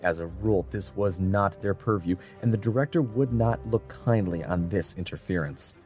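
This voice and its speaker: A man narrating an adventure audiobook in a dramatic, measured and solemn scripted style. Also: fairly low pitch, darkish tone, faint music behind.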